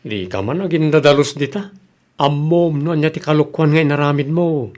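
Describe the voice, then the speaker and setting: A man's voice: fairly high pitch, moderate pace, darkish tone, lightly acted. A blunt village man, acted by a man in an Ilocano comedy radio drama. He chides and advises with an Ilocano Filipino accent. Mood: chiding.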